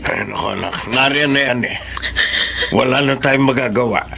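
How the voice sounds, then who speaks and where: A man voicing a gentle older father and grandfather who reassures his daughter in an audio drama. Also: medium pitch, moderate pace, vocal fry, a little hiss or hum. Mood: gentle reassuring.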